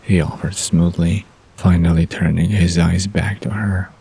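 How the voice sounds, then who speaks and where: Deep, gravelly, slow male storyteller. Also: flat delivery, dark tone. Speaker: narrator. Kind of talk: scripted story narration for bedtime audio drama.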